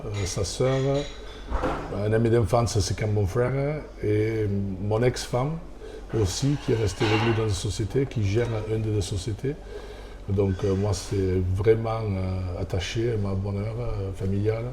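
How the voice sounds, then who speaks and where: An Australian man, a former rugby player turned restaurant owner, answers interview questions in careful, halting French. His voice is low and dark, and he often stops to restart a phrase. A faint hum of ambient noise sits behind him. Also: fairly even intonation.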